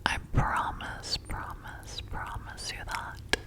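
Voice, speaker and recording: A woman playing a flirty, hypnotic vampire bartender in an ASMR roleplay, soothing and coaxing as she repeats herself. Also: a bit bright. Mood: coaxing, praising. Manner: soothing, coaxing, slow and repetitive.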